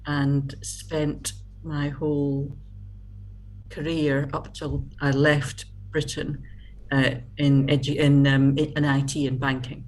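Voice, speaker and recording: A middle-aged Scottish woman from Scotland, a guest in a casual video-call interview, talking haltingly with many fillers and restarts. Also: low voice, little pitch movement, darkish tone, poor audio.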